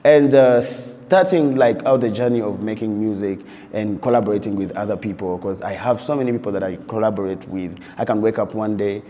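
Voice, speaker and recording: A man's voice: lowish voice, fairly quick, hardly any pauses, mellow. A man from Uganda, a DJ and producer reflecting in an interview, telling his story loosely and leaning on "you know" throughout. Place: interview set while on tour abroad. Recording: long reverb.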